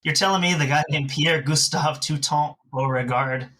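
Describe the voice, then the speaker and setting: A man's voice: fairly high pitch, normal speed, sharp tone, slight background noise. A man in a casual podcast discussion, talking in a loose, discursive way with lots of hedging filler. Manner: hesitant, discursive phrasing with frequent "like". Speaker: podcast co-host.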